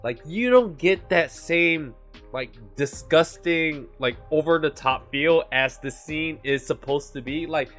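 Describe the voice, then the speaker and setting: A man talks through anime opinions in a loose, casual ramble, filling his sentences with "like" and "you know". His voice sits a bit higher than most men's, and he describes it as monotone. Soft music plays faintly underneath. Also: unhurried. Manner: chatty, leans on "like" and "you know"; calls his own voice monotone. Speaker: anime commentary host.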